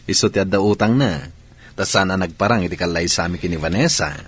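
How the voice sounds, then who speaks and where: A man's voice: low-pitched, animated, normal speed, fairly bright tone, breathy edge, full character voice. An actor playing an easygoing, affectionate young man who is easily swayed, in a Filipino radio drama. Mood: teasing, amused. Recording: radio drama with music underneath.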